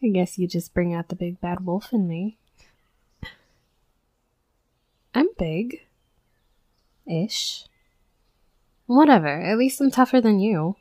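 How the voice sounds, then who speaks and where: A woman's voice: on the low side, measured pace, pauses often, darkish tone, no echo. A young woman playing a brash, sweary tsundere werewolf bully who scolds with grudging protectiveness, in a scripted audio roleplay. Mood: teasing, smug. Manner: scolding, sarcastic, sweary, exasperated. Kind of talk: scripted first-person roleplay audio acting.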